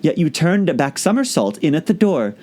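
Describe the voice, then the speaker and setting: A man's voice: on the high side, big pitch swings, fairly quick, a little mellow, voice pushed up. Man doing a cheeky, sing-song youth. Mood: cheeky, questioning. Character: cheeky, inquisitive youth questioning his father. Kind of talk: audiobook poem recitation.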